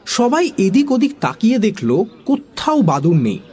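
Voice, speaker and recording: A narrator telling a children's comic story in an animated scripted style, with a husky voice. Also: mid-range voice, moderate pace.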